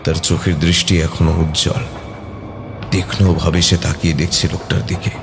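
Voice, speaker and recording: A man's voice: deep voice, level tone, moderate pace, over music. A man narrating a scripted horror story in a suspenseful, dramatic manner.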